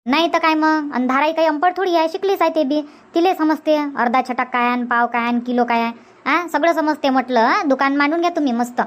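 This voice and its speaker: Give the part to a woman playing a middle-aged village housewife from a comedy cartoon. Her voice sits very high, with a rustic Varhadi Marathi accent. She is chatty, insistent and teasing, in homely colloquial speech. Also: fairly quick, lightly acted. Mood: advising. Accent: Varhadi Marathi from Vidarbha.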